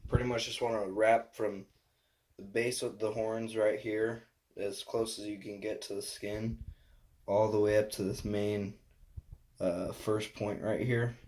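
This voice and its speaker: A man's voice: fairly low pitch, darkish tone. A young man casually walking through a do-it-yourself skull mount, rambling with many fillers and sounding a bit sick. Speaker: hunter making a skull mount. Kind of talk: casual DIY vlog. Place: indoors at home, bathroom. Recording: noisy home recording.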